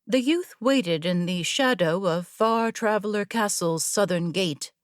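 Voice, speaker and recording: Slow, measured female story narrator. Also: medium pitch, no echo, studio quality. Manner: measured, deliberate. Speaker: audiobook narrator.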